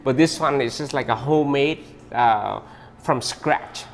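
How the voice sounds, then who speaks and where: A man who owns a pho restaurant, talking in an interview in halting English with many restarts. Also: medium pitch, gravelly. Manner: halting, restarts often, non-native English phrasing.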